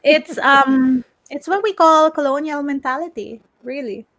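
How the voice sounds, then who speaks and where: High-voiced woman, outspoken and casual. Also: unhurried, fairly bright tone, no room sound. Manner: outspoken, emphatic. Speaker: podcast co-host and tech content creator. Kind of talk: casual opinionated chat.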